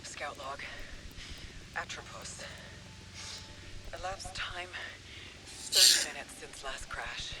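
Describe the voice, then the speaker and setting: Stranded space scout lady, weary and haunted, clipped game log entries, really loud noise behind her. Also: deep voice, takes it slowly, long pauses. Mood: grim, unsettled. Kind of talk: scripted video game log recording. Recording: noisy game audio playback. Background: loud game ambience.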